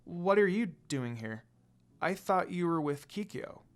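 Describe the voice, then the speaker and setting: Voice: medium pitch, expressive, unhurried, close to an everyday voice. A storyteller voicing an earnest, easily frightened and confused young woman in a fan fiction reading. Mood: friendly, curious.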